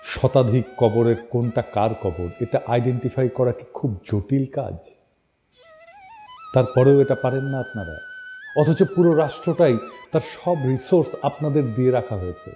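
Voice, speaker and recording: A man's voice: medium pitch, wildly swooping pitch, normal speed, mellow, soft background music. A middle-aged man with a northern Bangladeshi accent, giving informal, mocking political commentary full of stories and rhetorical questions. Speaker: political commentator, online host.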